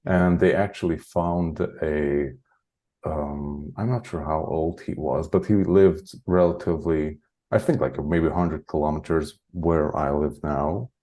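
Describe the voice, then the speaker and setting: Man, deep and dark-toned, loose rambling interview guest who chuckles often. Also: steady tone, measured pace. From Hungary. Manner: rambling, heavy use of "like", frequent chuckles. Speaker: guest, spiritual channeler. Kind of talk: casual interview chat.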